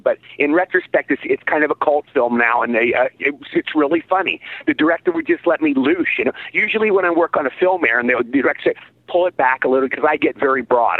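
A man in his sixties, an actor guest in a casual interview, telling stories excitably and repeating his phrases. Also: mid-range voice, dry recording. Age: 60s. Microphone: phone. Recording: tinny phone line.

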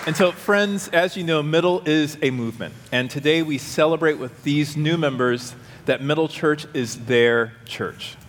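A man's voice: on the high side, normal speed, a bit bright, some chatter behind. A man pastor addressing the congregation and welcoming new members into the church. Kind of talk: church service leading. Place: large church sanctuary. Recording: church hall reverb with congregation noise.

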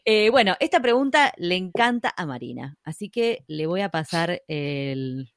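Casting note: Rioplatense woman podcast host, chatty, lowish voice, dry sound. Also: a little slow, dry recording. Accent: Rioplatense Spanish. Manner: chatty, lively, laughs often. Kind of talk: casual interview conversation.

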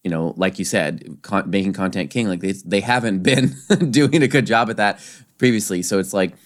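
Deep-voiced man in casual chat. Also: normal speed. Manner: rambling, many restarts and "you know"s. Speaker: podcast co-host. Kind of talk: casual discussion.

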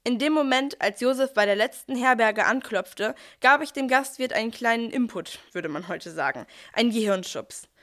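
Higher-pitched woman playing a chatty archangel Gabriel. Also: lively intonation, normal speed, hardly any pauses, fairly bright tone. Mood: matter-of-fact, pleased. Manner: chatty, addresses the listeners directly. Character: archangel Gabriel, busy, down-to-earth helper and storyteller. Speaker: narrator. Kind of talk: scripted nativity radio play monologue.

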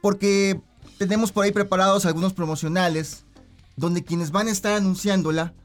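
High-voiced Mexican man, a radio director. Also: fairly even intonation, fairly quick, soft background music. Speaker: broadcasting director being interviewed. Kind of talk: conversational broadcast interview.

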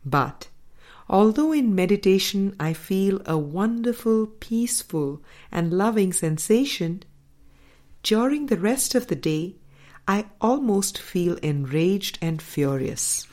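A woman reading a spiritual book aloud as a scripted narration, in measured, deliberate phrases with pauses. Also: medium pitch, lively intonation, darkish tone. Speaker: audiobook reader.

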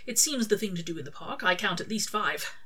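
A woman voicing a gentle, earnest male angel in a story, with polite, old-fashioned, hesitant phrasing. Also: medium pitch, lively intonation, a little fast, husky. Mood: earnest, tentative.

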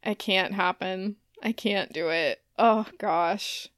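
A woman's voice: mid-range voice, takes it slowly, a bit bright, dry recording, studio quality. A woman, a podcast co-host, chatting casually and playfully and often laughing as she talks. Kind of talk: casual banter between co-hosts.

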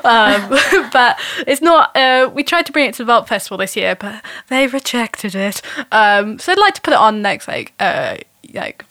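Bright-voiced woman, fairly high, dry. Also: expressive, normal speed, hardly any pauses, no echo. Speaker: guest character comedian and writer. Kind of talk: casual chat.

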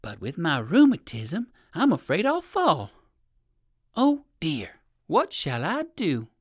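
A woman voicing a timid, polite old gentleman rabbit in a storybook, fretful and courteous. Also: low-pitched, wildly swooping pitch, takes it slowly, breathy edge, no echo, studio quality, exaggerated voice. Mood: worried.

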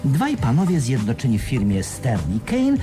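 Wry raconteur, mid-pitched, highly melodic delivery, radio drama, music underneath. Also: male voice, wildly swooping pitch, normal speed. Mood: wry, explanatory. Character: wry male storyteller recounting a shady deal. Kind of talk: 1980s Polish radio play, conversational storytelling.

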